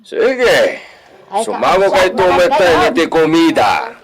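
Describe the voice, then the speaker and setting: A dignified master of a hacienda acted by a man in a radio drama. He speaks slowly and commandingly with big swings in pitch, over a murmuring crowd. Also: big pitch swings. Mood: instructing. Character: dignified hacienda master, respectful adult son. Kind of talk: Ilocano radio drama acting.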